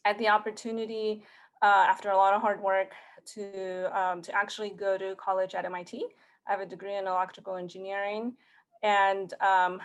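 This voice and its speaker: Woman from Mexico, Spanish native speaker, thirties, conference talk, earnest, halting restarts, flat level pitch. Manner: earnest, hesitant, many fillers and restarts. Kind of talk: prepared career talk.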